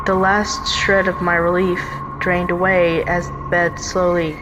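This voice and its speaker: Low-pitched female horror narrator with reverb. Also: flat delivery, measured pace, dull audio. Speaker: story narrator. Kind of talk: scripted first-person horror narration. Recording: long reverb, prominent music bed.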